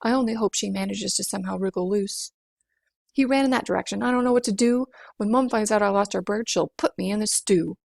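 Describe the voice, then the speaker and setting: A woman's voice: medium pitch, vocal fry, a little mellow, no echo, clean studio sound. A woman voicing an upset, soaking-wet young boy in a storybook who pleads for help. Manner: distressed, pleading.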